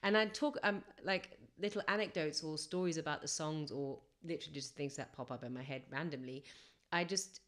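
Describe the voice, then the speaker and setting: Brief: young female singer, low-pitched, chatty and reflective podcast guest, loose and rambling. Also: fairly quick. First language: English first language. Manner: chatty, reflective, rambling with fillers and self-corrections. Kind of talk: casual podcast interview storytelling.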